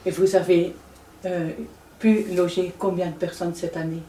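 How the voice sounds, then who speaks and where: A Dutch-native woman asks interview questions in a soft, lowish, mellow voice. The recording is roomy and she sits some way from the microphone. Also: little pitch movement, moderate pace, slight background noise. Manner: soft, brief backchannels. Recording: roomy sound from far off.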